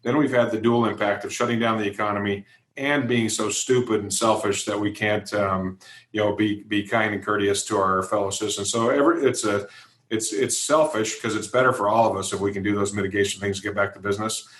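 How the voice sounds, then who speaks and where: Male interview guest, American accent. Fairly deep, level pitch, emphatic plain talk, recorded in an echoey room. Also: fairly even intonation, brisk, fairly bright tone. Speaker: interview guest, events company executive. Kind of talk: conversational interview answers.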